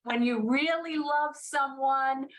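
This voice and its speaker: A woman's voice: sits high, slow pace, a bit bright. Conversational woman podcast host. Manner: conversational, affirming with frequent yeahs. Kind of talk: casual interview.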